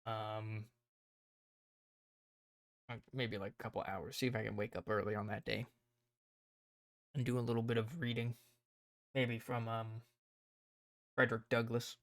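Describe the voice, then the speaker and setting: A man streaming casually, rambling and thinking aloud as he plans, his voice tired and croaky. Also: lowish voice, little pitch movement, measured pace, long pauses, fairly bright tone, no room sound, clean studio sound. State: hoarse, tired voice. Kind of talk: casual livestream chat.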